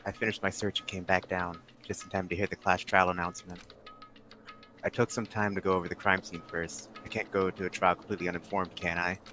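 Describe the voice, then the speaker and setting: A man playing a composed, low-voiced girl detective. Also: level tone, close to an everyday voice. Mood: composed, guarded. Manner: measured, matter-of-fact. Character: cool, composed, secretive teenage girl detective. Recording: music behind the voice.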